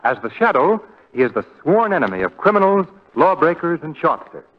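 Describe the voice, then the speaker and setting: A dull vintage radio recording carries a male announcer with a fairly high, very animated voice. His scripted narration is formal and dramatic, in the 1940s broadcast manner. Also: fairly quick.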